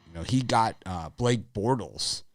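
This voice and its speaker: Raspy, very deep-voiced man. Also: lively intonation, measured pace, dry recording, studio quality. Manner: rambling, filler-heavy, opinionated. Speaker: sports betting show host. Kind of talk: casual sports talk.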